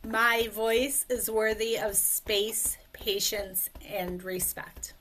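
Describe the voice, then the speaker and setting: A woman speech coach leading a guided tapping session, speaking slowly and calmly in short phrases for a client to repeat, over a video call. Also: a little high, a little hiss or hum, poor audio. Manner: slow, calm, leads phrases for repetition.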